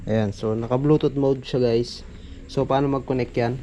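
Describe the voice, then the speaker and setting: A man's voice: mid-range voice, steady tone, moderate pace, warm tone, dull audio. A man with a Filipino accent gives a casual hands-on product demo as a reviewer, informally addressing his viewers. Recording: noisy amateur recording with background ambience.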